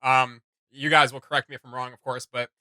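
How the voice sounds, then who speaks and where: A man hosting a reaction video, chatting casually in an excitable, slangy way. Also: mid-range voice, brisk, no echo. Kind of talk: casual, enthusiastic reaction chat.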